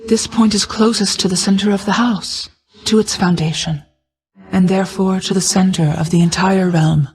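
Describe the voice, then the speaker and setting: Woman doing an ancient night goddess for a video game, solemn n regal, formal measured speech, stern but motherly, mid pitch. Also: fairly even intonation, a little mellow, close to an everyday voice, low-quality recording. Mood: serene, gracious.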